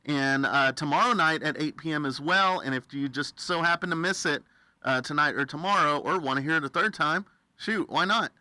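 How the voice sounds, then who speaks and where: A man's voice: on the high side, normal speed, bright, forceful tone, dry recording. An American man hosting a friendly radio interview with an enthusiastic, affirming, folksy manner. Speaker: radio host interviewing a guest.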